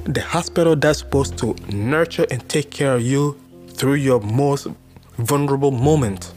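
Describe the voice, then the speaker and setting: A man's voice: medium pitch, moderate pace, a little mellow, faint music behind. A Ghanaian man hosting a podcast, reading news stories aloud and then reacting to them with exclamations and comments. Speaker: podcast host.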